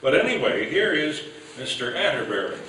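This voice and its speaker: A man's voice: fairly high pitch, very animated, moderate pace, bright, forceful tone. A man hosting a live stage event, introducing a cast in an informal, unscripted way with a self-deprecating, joking manner. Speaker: master of ceremonies introducing a cast. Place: live on stage at an old-time radio event. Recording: very echoey, noisy hall, distant mic.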